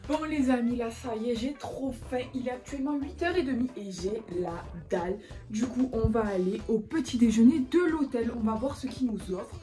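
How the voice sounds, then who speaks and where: A young woman talks chattily to a camera held at arm's length, calling her viewers friends. Her voice sits a little high, and music plays underneath. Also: normal speed. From France. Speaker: vlogger. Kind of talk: casual vlog narration to camera. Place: hotel room and restaurant at a ski resort. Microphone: handheld camera at arm's length.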